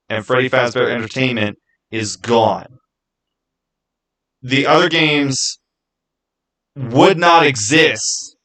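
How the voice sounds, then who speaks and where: A man's voice: mid-range voice, sharp tone, low-quality recording. A man hosting a live stream, talking casually with viewers in an emphatic, insistent way and often repeating his phrases. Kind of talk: casual live chat with viewers, arguing game theories.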